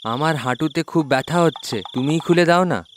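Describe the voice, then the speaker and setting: Man playing a high-pitched blustering cartoon husband. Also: normal speed, a little hiss or hum, lightly acted. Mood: coaxing. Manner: blustering, scolding, pleading when scared. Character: arrogant, boastful, cowardly village husband. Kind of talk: children's moral-story cartoon acting.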